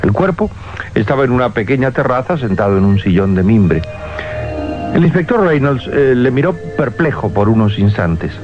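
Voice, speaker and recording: Male narrator, dramatic radio storytelling, mid-pitched, lively intonation, dull vintage sound, music behind. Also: moderate pace.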